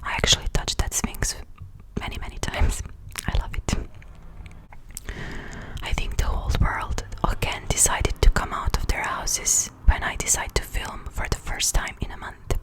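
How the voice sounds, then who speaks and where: A young woman with a Croatian accent whispering slowly and softly for ASMR, close to a studio mic in a small room at home. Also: poor audio. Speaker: ASMR creator. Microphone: large foam-covered studio mic close up.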